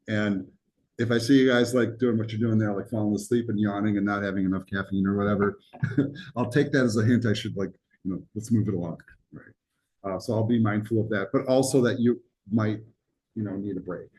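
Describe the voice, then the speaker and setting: A man's voice: lowish voice, darkish tone, slight background noise, poor audio. A man who grew up speaking Greek teaches a college class in a classroom, talking casually with jokes, asides and frequent ums. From United States. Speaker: college instructor.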